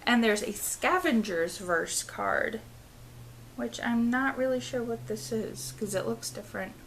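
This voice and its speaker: A woman's voice: on the high side, expressive, a little slow, a bit bright, slight background noise, low-quality recording. A woman in her thirties casually talking through a board game expansion at home, reading card text aloud and trailing off mid-phrase. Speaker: vlogger. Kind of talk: casual unboxing walkthrough. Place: living room at home, indoors.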